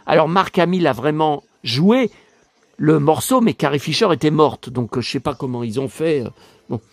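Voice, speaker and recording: Hoarse middle-aged man rambling on. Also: a little high, lively intonation, moderate pace, no room sound. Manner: rambling, repeats words, stammers, emotional asides. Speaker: YouTuber. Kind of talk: casual rambling vlog chat. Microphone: phone.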